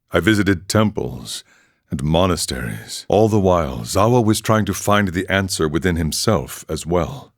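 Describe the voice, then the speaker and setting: A weathered warrior monk, with a very low voice and a close, dry sound. He is calm and ascetic, setting out his philosophy in measured, solemn video game dialogue. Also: no echo. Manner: measured, solemn, aphoristic storytelling.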